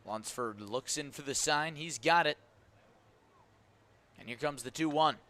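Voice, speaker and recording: A man calling a baseball game play by play for radio, steady and brisk as he tracks each pitch. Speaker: play-by-play announcer.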